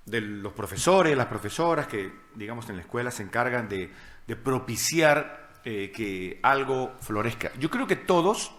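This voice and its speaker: A man from Peru speaking as a guest writer, measured and reflective. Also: mid-range voice, fairly bright tone. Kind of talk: panel talk.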